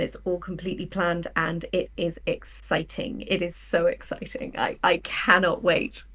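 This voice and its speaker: A woman's voice: lowish voice, fairly bright tone. A woman, talking as an interview guest in a conversational, enthusiastic, explanatory way. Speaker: guest, audio drama writer and producer.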